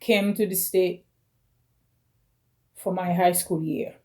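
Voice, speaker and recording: Slow-speaking woman in her forties. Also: on the low side, steady tone, dark tone. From Caribbean. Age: 40s. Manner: slow, halting, phrase by phrase. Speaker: vlogger. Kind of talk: personal video message.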